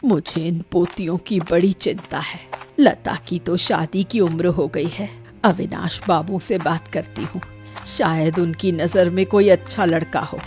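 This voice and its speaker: A woman playing a careworn old grandmother in an animated story, scolding and lamenting but loving. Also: on the low side, measured pace, soft background music. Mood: weary, worried. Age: older. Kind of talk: Hindi animated story dialogue.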